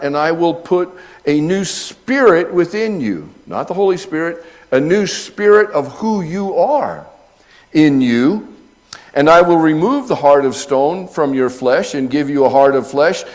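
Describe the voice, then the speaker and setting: A man's voice: medium pitch, darkish tone. A middle-aged man delivers an expository sermon in an ordinary mid-range voice. He explains each point patiently, then lifts into emphatic, ringing delivery when reading scripture aloud. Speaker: pastor teaching scripture.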